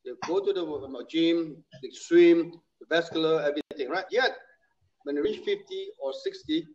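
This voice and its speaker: Man in his sixties, higher voice, repeats points for emphasis, muffled lo-fi sound. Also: moderate pace. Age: 60s. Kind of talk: casual chat. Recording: muffled lo-fi audio.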